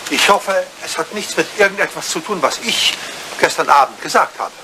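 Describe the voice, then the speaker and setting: A man's voice: sits high, normal speed, background noise, natural voice. An older man acting in a radio play as a proud, dignified old butler, formal, stubborn and stiffly courteous. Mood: stiff, contrite.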